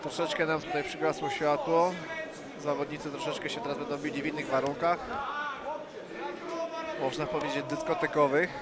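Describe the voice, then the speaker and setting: A man's voice: fairly high pitch, flat delivery, normal speed, pauses often. Male fight analyst over a roaring crowd. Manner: explanatory, adds technical remarks. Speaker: color commentator. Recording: very loud arena crowd behind.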